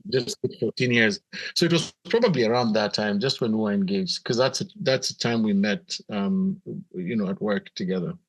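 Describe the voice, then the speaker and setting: A man's voice: on the low side, a little fast, poor audio. Kenyan man telling his story, reflectively. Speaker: podcast guest, missionary pastor. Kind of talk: conversational personal storytelling.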